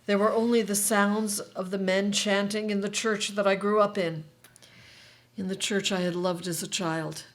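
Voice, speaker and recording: An actress reading the part of a middle-aged Armenian-American mother in a staged play, speaking in a measured, reflective way. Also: medium pitch, fairly even intonation, lightly acted. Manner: measured, slow, reflective delivery.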